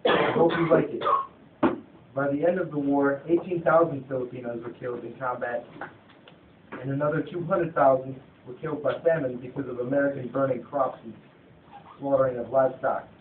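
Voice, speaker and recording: A man's voice: mid-range voice, steady tone, brisk. A young man reading scripted narration aloud for a student puppet show, stumbling now and then over his words. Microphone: phone from across the room. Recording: tinny phone recording, distant, echoey, noisy.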